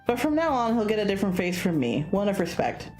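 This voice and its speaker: A woman's voice: mid-range voice, sing-song, fast talker, a little mellow, soft background music, close to an everyday voice. A woman playing a curious, polite young male student in a visual novel, speaking in a hesitant, conversational way. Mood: respectful, sincere.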